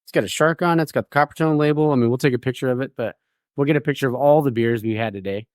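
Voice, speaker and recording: Casual male podcast host, easygoing and jokey, mid pitch, dry close-miked sound. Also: rapid-fire, no echo. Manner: laid-back, joking, swears casually. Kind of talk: casual beer-tasting chat.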